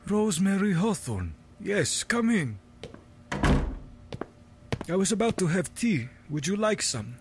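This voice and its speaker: A man playing a guarded, learned university professor in an audio drama, speaking formally and with measured courtesy. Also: sits high, big pitch swings, noisy background. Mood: courteous. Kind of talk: scripted audio drama acting.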